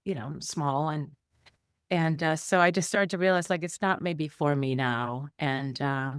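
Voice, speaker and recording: A woman's voice: low voice, measured pace, smooth, no echo, studio quality. A middle-aged woman from Iceland, a guest telling her life story in a rambling way with many fillers and restarts. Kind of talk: conversational interview storytelling.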